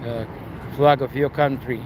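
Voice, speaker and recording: A man from eastern Ukraine with a Ukrainian accent, speaking English haltingly with many "uh" fillers while live streaming outdoors at a memorial. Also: mid-range voice, fairly even intonation. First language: Russian or Ukrainian. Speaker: tour guide and live streamer. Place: outdoors at a memorial in a city street. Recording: noisy outdoor city street. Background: city traffic and sirens.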